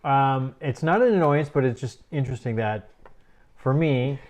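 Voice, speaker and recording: A man, a team member, chatting casually in a relaxed, plainspoken way. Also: medium pitch, moderate pace. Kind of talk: casual group chat.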